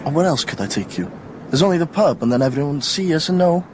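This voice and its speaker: A man's voice: fairly high pitch, very animated, fast talker. An actor playing an awkward, smitten Welsh teenage village boy in a naturalistic radio drama. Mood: sheepish, bantering. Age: young adult. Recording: noisy outdoor ambience.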